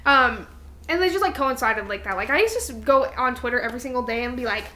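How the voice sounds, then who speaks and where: A young adult woman co-hosting a podcast in casual chat with a friend, with an animated, exclamatory, candid way of talking and casual swearing. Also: fairly high pitch, fast. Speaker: podcast co-host.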